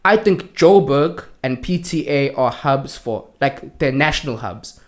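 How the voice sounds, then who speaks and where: A man co-hosting a podcast, telling stories in an animated, slangy way. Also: mid-range voice, measured pace. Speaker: podcast co-host. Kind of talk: casual chat.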